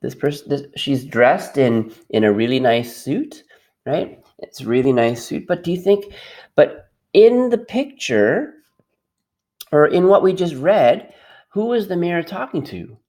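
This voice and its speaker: Middle-aged guy doing storytime teacher talk for little kids, super animated pitch, encouraging, repeats his questions. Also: measured pace. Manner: encouraging, question-led, repeats phrases for children. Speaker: teacher reading aloud to children. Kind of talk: interactive read-aloud lesson for young children. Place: quiet workshop at home.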